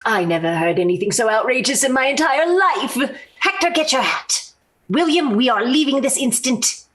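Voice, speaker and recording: A woman's voice: high-pitched, animated, normal speed, fairly bright tone. An actress playing a haughty, disapproving middle-aged mother in a 1940s radio sitcom, speaking formally and witheringly. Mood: indignant. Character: haughty, disapproving society mother and domineering wife.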